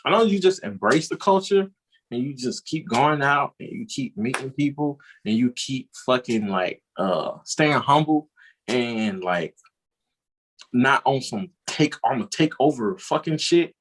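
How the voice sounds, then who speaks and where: A man's voice: mid-range voice, big pitch swings, unhurried, husky, low-quality recording. A man hosting a casual conversation, laid-back and swearing freely. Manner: laid-back, casual swearing, "you know what I'm saying". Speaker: host.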